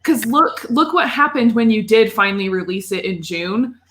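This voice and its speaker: A woman chatting casually on a gaming podcast, sharing opinions in halting, filler-heavy bursts. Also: fairly high pitch, fairly bright tone. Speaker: podcast panelist. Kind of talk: casual group chat about video games.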